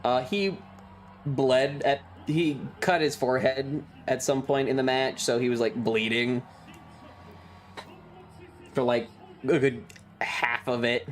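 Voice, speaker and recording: A man streamer talking casually and rambling through his material, halting often with stretched pauses. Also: medium pitch, fairly even intonation. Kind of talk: casual livestream chat over trip photos and videos.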